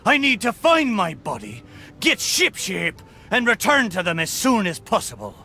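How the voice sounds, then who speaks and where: Game casting: living pirate ship, bright high voice, swooping delivery, hearty and boastful, theatrical buccaneer speech. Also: male voice, huge pitch swings, moderate pace, faint music behind, heavily acted. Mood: wistful, earnest. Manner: theatrical pirate talk, exclamatory. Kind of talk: video game character acting.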